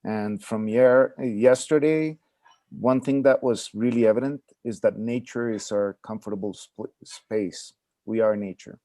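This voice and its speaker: A man introducing himself on an online panel over a video call, thoughtful and measured. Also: lowish voice, steady tone, warm tone, no echo. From Colombia. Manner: thoughtful, measured, hesitant fillers.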